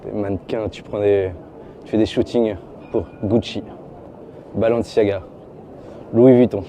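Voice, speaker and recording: A man's voice: fairly low pitch, fairly even intonation, a little slow, dark tone, slight background noise. A man chatting up a stranger in a metro passageway, flirty and teasing, with casual slang. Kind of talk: casual street chat.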